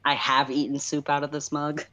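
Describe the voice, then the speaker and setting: Female livestream co-host with a strikingly low voice, casual and playful. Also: level tone, normal speed, a bit bright.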